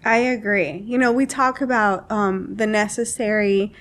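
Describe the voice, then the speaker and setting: A woman's voice: medium pitch, fairly even intonation, normal speed. A woman hosting a podcast, chatting casually with her guest in person. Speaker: podcast host interviewing an author. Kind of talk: casual chat. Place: indoors, in-person podcast recording.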